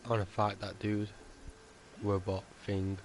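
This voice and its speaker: A man's voice: fairly low pitch, completely flat delivery, unhurried, slight background noise. A man giving casual commentary as he plays a video game. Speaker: gamer playing and commenting. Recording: game music behind the voice.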